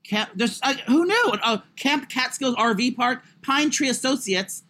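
A man with a high voice chatting as a podcast co-host, crude, rambling and self-mocking. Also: animated, unhurried, bright, forceful tone. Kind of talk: casual chat.

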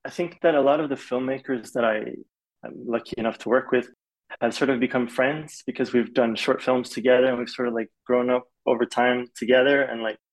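A man's voice: mid-range voice, a little fast, low-quality recording. A young man, a film composer raised in Malaysia, talking casually in an interview, rambling with lots of fillers. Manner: relaxed, rambling with many "like" fillers.

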